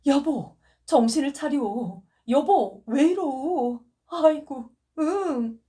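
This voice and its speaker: A woman's voice: on the high side, lively intonation, takes it slowly, dark tone, pitched above the performer's own voice. A woman voicing an anxious husband who pleads with his delirious wife in a trembling voice. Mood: pleading.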